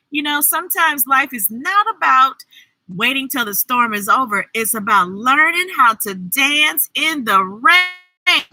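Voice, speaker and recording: A woman reading picture books aloud to children in an exuberant, playful way, with drawn-out words, sound effects and chatty asides. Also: high-pitched, sharp tone, dry recording, rough recording. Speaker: children's storybook reader and host.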